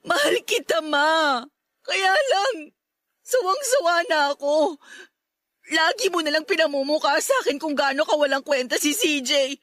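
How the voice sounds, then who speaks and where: A woman's voice: high voice, expressive, moderate pace, fairly bright tone, no echo, studio quality. A woman playing a lovesick, defiant young adult daughter in a Tagalog radio drama, pleading emotionally with a Filipino accent. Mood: tearful, defiant.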